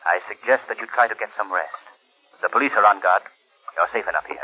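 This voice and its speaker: An actor playing a mysterious invisible crime fighter in 1930s radio style, calm, measured and commanding. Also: male voice, on the low side, slight background noise, close to an everyday voice. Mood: firm, reassuring. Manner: measured, deliberate, authoritative. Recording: muffled old 1930s radio recording.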